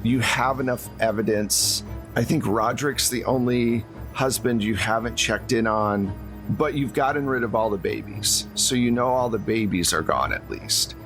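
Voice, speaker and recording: A man's voice: mid-range voice, moderate pace, fairly bright tone, faint music behind. A man working as game master, recapping and narrating a tabletop game in a conversational storytelling way.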